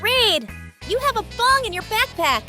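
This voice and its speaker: Really high bright bossy raptor schoolgirl, sugary and prim, visual novel game thing w music. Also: big pitch swings, moderate pace, heavily acted. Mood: exasperated. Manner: shrill, over-sweet, officious. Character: prim, chirpy, exasperated teenage girl raptor office helper.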